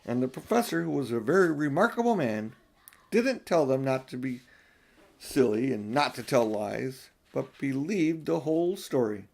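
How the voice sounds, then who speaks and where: Older man, audiobook reader, storybook narration, middle pitch. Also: big pitch swings, normal speed, creaky, darkish tone.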